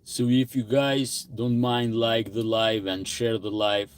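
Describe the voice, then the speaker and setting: A man's voice: mid-range voice, flat delivery, slow pace, clear, resonant tone. A man hosting a casual livestream, greeting viewers by name and answering their comments aloud. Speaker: livestream host.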